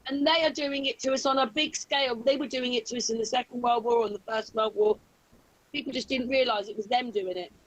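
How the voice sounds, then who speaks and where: A woman's voice: fairly high pitch, fairly even intonation, fairly quick, poor audio. A woman hosting a casual discussion on an online call. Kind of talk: casual chat. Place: indoors on an online video call.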